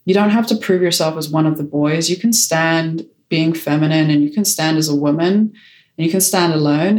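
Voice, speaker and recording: A woman's voice: low-pitched, gravelly, darkish tone. A woman director and photographer answering interview questions in a frank, decisive way, with a strong voice. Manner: decisive, frank, self-assured.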